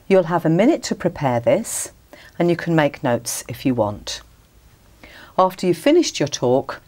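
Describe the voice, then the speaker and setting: A woman's voice: deep voice, darkish tone, slightly rough. Formal female exam examiner. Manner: formal, measured and polite. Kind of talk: scripted exam questions.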